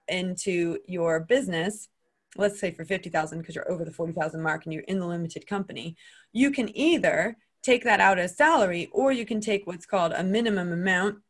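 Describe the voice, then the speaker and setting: Casting: American woman host, slightly low voice, chatty, lots of restarts. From United States. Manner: chatty, with many restarts and asides. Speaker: podcast host. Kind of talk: casual conversation.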